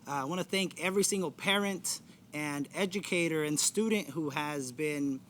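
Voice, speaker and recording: A man running as a candidate, giving a halting opening statement on stage with many fillers. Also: fairly high pitch, fairly bright tone, slight background noise. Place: event stage.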